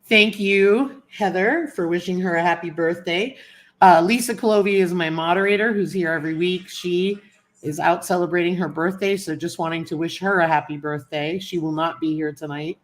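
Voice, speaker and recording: A woman's voice: fairly low pitch, normal speed. Chatty woman greeting viewers. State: sniffly from a cold. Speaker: livestream host. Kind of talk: casual live chat greeting viewers.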